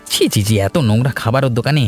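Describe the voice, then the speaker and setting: A man's voice: medium pitch, fast, a little mellow, soft background music, lightly acted. A man voicing a shifty, cowardly village schemer in a cartoon folk tale, blustering and whiny, stammering when cornered. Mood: scheming, wheedling. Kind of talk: animated folk tale voice acting.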